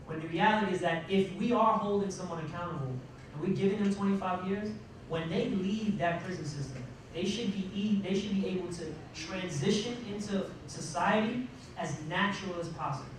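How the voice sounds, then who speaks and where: A man with a fairly high voice speaks off the cuff on a panel. He is emphatic, breaking his sentences with pauses and rhetorical questions. The sound is very reverberant, with clear noise in the room. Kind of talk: panel discussion remarks, unscripted. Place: large indoor room at a college panel event. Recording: echoey, noisy room, distant microphone.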